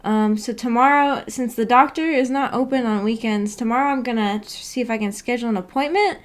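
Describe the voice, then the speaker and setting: High-voiced woman chatting on livestream. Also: brisk. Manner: informal, hesitant, giggly. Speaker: livestream host. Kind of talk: casual livestream chat with viewers.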